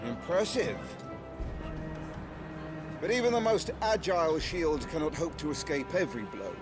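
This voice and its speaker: Wry, higher-pitched fantasy swordmaster over music. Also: male voice. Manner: theatrical, instructive, teasing. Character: seasoned male swordmaster, wry, formal, testing his young lord. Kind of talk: fantasy video game acting.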